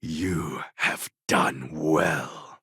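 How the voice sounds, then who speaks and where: Gravelly, proud warlord. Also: male voice, rumbling low, sharp tone, dry recording, shouting, studio quality, heavily acted. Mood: earnest, resolute. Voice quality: gravelly, husky. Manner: grandiose, formal, deliberate phrasing. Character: proud defiant cloned warlord, impassioned rebel leader. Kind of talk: dramatic video game character acting.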